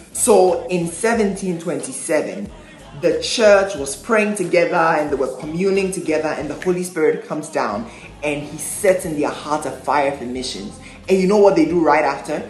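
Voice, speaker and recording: A man's voice: fairly high pitch, roomy sound, low-quality recording. A young man hosting a church history video, speaking energetically and asking the viewer questions. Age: young adult. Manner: animated, gestures a lot, asks the viewer questions. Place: small indoor room, plain wall.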